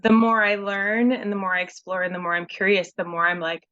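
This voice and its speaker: A woman's voice: medium pitch, steady tone, fairly quick, bright, forceful tone, dull audio. A woman speaking hesitantly and reflectively, searching for words over a video call. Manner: searching, hesitant, many fillers. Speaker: conversation participant. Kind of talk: personal reflective talk.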